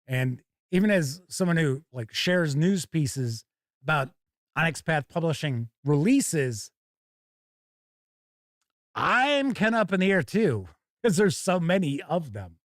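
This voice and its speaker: A man's voice: fairly high pitch, very animated, slow, no room sound, studio quality. A man hosting a tabletop gaming show in a casual live-stream style, candidly giving opinions and rambling through anecdotes.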